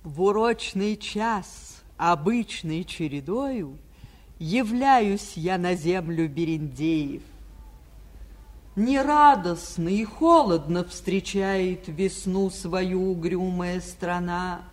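An actress playing a stately, tender spirit of spring, declaiming lyrical verse in a 1940s radio fairy tale. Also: female voice, fairly low pitch, slow. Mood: solemn, sorrowful.

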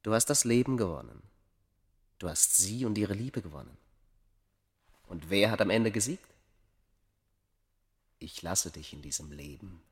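A man's voice: sits low, expressive, unhurried, pauses often, slightly rough, heavily acted. A male actor playing a bitter, vengeful keeper of a secret in a radio play, with emphatic, declamatory delivery. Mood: cold, triumphant. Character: bitter, vengeful man guarding a deathless secret. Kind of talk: theatrical radio play dialogue.

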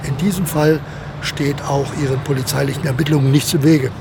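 A cold, commanding colonel, fairly high-pitched and gravelly, snapping out clipped orders in a radio drama. Clear outdoor noise with vehicles surrounds him. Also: male voice, steady tone, a little fast. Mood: stern, matter-of-fact. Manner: curt, authoritative, clipped orders. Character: cold, commanding army colonel guarding a quarantine zone. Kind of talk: modern German radio drama acting.

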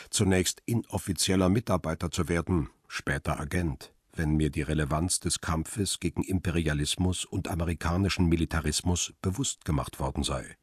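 A betrayed photographer telling his story in a radio crime drama. His voice is very deep and husky, close and dry. He is brooding and bitter, reflecting in measured sentences. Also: dry recording. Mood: sober, reflective. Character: bitter, betrayed male paparazzo narrating his own story. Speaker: first-person narrator.

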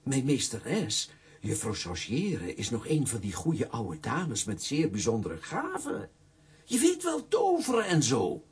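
An actor voicing a chummy, sly old enchanted coffee pot in a children's radio play, with a gravelly, raspy voice. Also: male voice, mid-range voice, huge pitch swings, unhurried, heavily acted. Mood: confiding.

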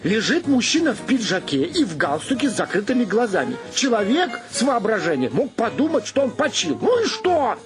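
A man's voice: very high-pitched, big pitch swings, fairly quick, few pauses, music in the background. An actor playing a dreamy, idealistic middle-aged painter and father in a radio play, musing and philosophizing earnestly. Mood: indignant.

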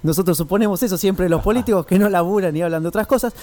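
A man's voice: high-pitched, racing, darkish tone. A man with a Buenos Aires accent chatting casually as a radio host, informal and rambling. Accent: Argentine, Buenos Aires. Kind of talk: casual radio interview chat.

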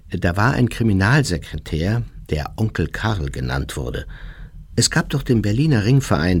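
An actor playing an older, unrepentant and boastful German ex-officer, reminiscing huskily in a documentary radio drama monologue. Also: male voice, low voice, husky, full character voice. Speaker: actor reading a war criminal's recollections.

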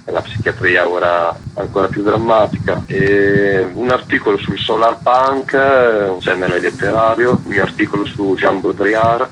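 A man in his thirties with a very low voice explains things in an interview. His speech comes through a clearly noisy recording with clicks. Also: fairly even intonation, measured pace, hardly any pauses. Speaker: interviewed zine and label founder.

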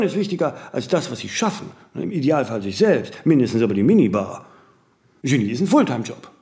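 A man's voice: medium pitch, darkish tone. A man playing a vain, disciplined, eccentric celebrity in a radio play, talking in flowing, emphatic, self-correcting monologues peppered with little tag questions. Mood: opinionated, provocative. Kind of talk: radio play dialogue, conversational interview answers.

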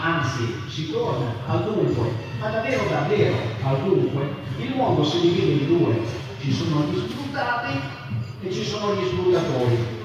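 A man with a fairly high voice delivers a spoken-word story live, colloquial and emphatic. He sounds far from the mic in a heavily reverberant venue, telling it like a first-hand memory. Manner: colloquial, emphatic, with swearing and conversational asides. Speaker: author reading his story at a festival. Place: live festival venue at an occupied factory. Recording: very echoey, distant live festival recording with music. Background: loud electronic music.

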